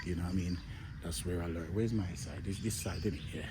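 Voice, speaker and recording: A man's voice: low-pitched, a little fast, background noise. A man with a Jamaican accent, chatting casually to his viewers as a travel vlogger while walking through a hotel garden, now and then dropping into a murmur. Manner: chatty, addresses viewers as "my people", often drops to a murmur.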